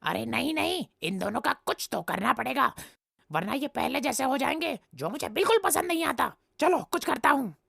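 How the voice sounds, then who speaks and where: A scheming crow villain, put on by a woman for a cartoon, with a high, heavily gravelly voice. He gossips and tattles in a sneaky, jealous way, and his pitch jumps about. The recording is dry and close. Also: sing-song, moderate pace, a bit bright, no echo, heavily acted. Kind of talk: cartoon voice acting.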